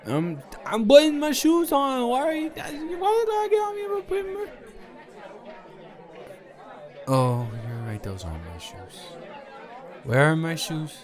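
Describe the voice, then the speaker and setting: A man's voice: extremely high pitch, huge pitch swings, moderate pace, a crowd in the background, exaggerated voice. A young man playing a tipsy, goofy college student roommate, rambling and stammering as he talks directly to the listener in an improvised audio roleplay. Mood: giddy, flustered. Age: young adult. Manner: rambling, stammering drunken speech with giggles.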